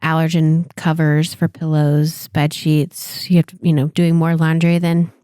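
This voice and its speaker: Woman, sniffly, calm practical tone, lowish pitch, dry sound. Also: a little slow, smooth, no room sound. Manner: steady, practical explaining, frequent agreeing hums. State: sniffly, stuffy. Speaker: nurse practitioner, co-host. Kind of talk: casual health podcast chat.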